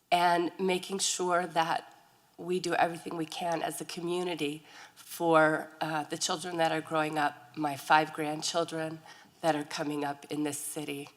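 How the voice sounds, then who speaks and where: An older woman, a grandmother and bereaved mother, speaking as an advocate on a panel in a measured, earnest way. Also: deep voice. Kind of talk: panel introduction at a public forum.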